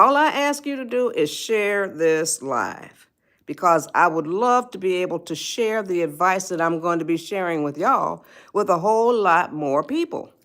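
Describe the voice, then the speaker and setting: A woman's voice: fairly low pitch, fairly bright tone. An 81-year-old woman, hosting a live stream and giving advice. She rambles, repeats herself and greets viewers by name. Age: 80s. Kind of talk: spontaneous livestream talk.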